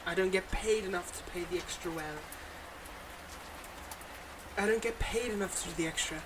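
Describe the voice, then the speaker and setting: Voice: medium pitch, moderate pace, background noise, lightly acted. A performer voicing a smug, playfully exasperated supervillain in a scripted ASMR audio roleplay, mocking and dramatic. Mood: smug, teasing. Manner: mocking, dramatic, addresses an unheard hero listener.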